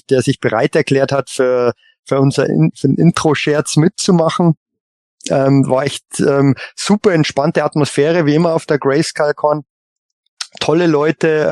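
Slightly high-voiced man chatting, halting. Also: darkish tone, no echo, clean studio sound. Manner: halting, repeats words mid-sentence. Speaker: podcast co-host. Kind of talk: casual chat.

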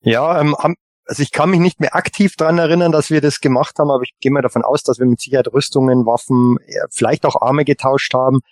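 A man's voice: medium pitch, steady tone, fast talker, darkish tone, no echo, clean studio sound. A man on a podcast panel, casually reminiscing in a conversational style.

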